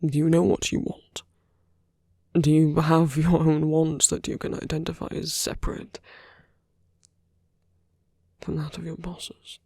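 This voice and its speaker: Guy doing a soft hearted villain for asmr, mellow husky near-whisper, wooing his enemy real slow and careful. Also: fairly high pitch, flat delivery, no room sound, clean studio sound, heavily acted. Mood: caring. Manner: slow, deliberate, hushed, often slipping into whispers. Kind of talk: ASMR roleplay, intimate monologue. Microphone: close, dry microphone.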